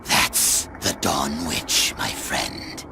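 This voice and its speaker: An actor playing a hard, cynical lone warrior in a video game cutscene, terse and menacing with a gravelly voice. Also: male voice, very deep voice, big pitch swings, extremely slow, pushed and bright, heavily acted. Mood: grim. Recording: noisy game cutscene audio.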